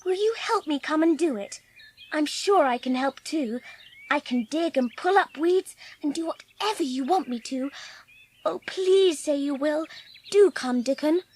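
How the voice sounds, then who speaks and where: A woman's voice: high-pitched, animated, measured pace, darkish tone. A woman playing an earnest, lonely orphan girl in a dramatised audiobook, with childlike phrasing. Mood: eager pleading.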